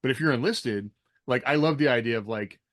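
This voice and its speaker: An American man with a medium-pitched voice chats on a podcast. His delivery is casual and meandering, full of anecdotes and "like". The sound is dry, with very little room in it. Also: brisk, no room sound. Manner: rambling, anecdotal, frequent "like". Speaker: podcast co-host. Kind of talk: casual chat about military life and fiction.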